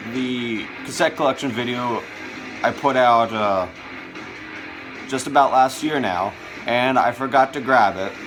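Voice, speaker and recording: A man with a fairly low voice hosts a casual show-and-tell vlog. He talks in an enthusiastic, conversational way, with clearly audible music behind him. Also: low-quality recording. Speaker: video host showing a music collection.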